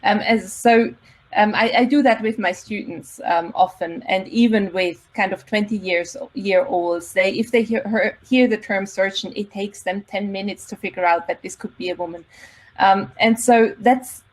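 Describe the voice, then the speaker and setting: A woman, a linguist who teaches students, explaining her view on a panel in a measured way with some fillers. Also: mid-range voice, low-quality recording. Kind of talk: online panel discussion.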